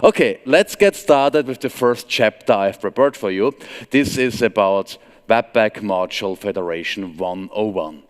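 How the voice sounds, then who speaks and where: A man's voice: fairly low pitch, a little slow. Austrian-accented man speaking in a reverberant hall. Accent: Austrian German. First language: German. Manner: relaxed, wry, self-deprecating humor. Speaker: conference speaker. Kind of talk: conference tech talk with anecdotes. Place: large conference hall stage. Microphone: headset mic.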